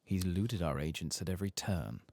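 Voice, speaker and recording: A man softly reading out the lines of a guarded, calculating fantasy spymaster in a game. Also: low voice, animated, a little fast, no room sound. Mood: grim, businesslike. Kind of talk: soft-spoken reading of game quest text.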